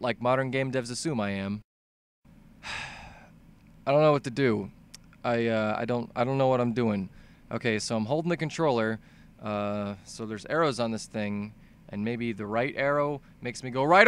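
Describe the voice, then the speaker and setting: A man doing an exaggerated, profanity-laden comedic voice-over about a video game, swinging between mock-clueless talk and excited outbursts. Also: high-pitched, smooth, no echo. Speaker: video game commentator, fan-dub voice-over.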